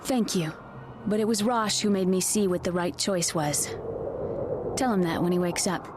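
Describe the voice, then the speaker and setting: A woman's voice: fairly low pitch, moderate pace, background noise, lightly acted. An actress playing a young, headstrong female Jedi in a video game, earnest and firm in her delivery. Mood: sincere, calm. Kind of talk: dramatic video game cutscene acting. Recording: music behind the voice.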